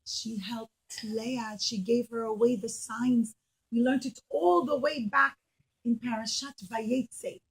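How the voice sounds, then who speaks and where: A woman teaching as an animated storyteller for children, speaking simply and emphatically and putting questions to her listeners. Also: fairly high pitch, slow pace, husky, rough recording.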